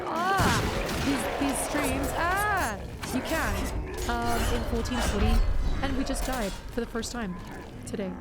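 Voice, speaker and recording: A young woman streaming a game, chatting casually and rambling with lots of fillers, her voice a little worn out. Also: a little high. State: slightly worn-out voice. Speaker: variety streamer. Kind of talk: casual livestream chat while gaming.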